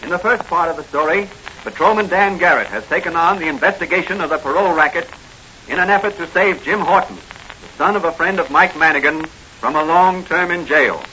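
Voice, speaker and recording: Male serial announcer, dramatic delivery, bright cutting tone, muffled old-time radio recording with some noise. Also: a little high, fairly quick. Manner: dramatic, declamatory. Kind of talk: 1940s radio scripted narration.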